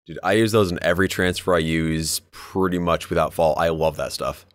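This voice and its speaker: A man with a low voice and a dry, close sound. He co-hosts a podcast, chatting about his hobby in an informal, wry way and swearing now and then. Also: fairly even intonation, fairly quick, clear, resonant tone, no echo. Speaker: podcast co-host. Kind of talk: casual hobby chat.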